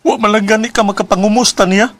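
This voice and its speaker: An actor playing an emotional, humble young son in a radio drama, with a Cebuano Filipino accent. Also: male voice, high-pitched, fairly even intonation, fast, fairly bright tone. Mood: angry, bitter. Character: grieving, bitter young son, lovestruck and humble. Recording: music bed behind radio drama.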